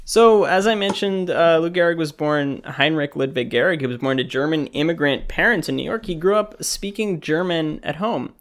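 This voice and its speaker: A man's voice: fairly high pitch, normal speed, few pauses. A man co-hosting a podcast, talking in a wry, joking way and laughing often. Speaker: podcast co-host. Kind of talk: casual chat.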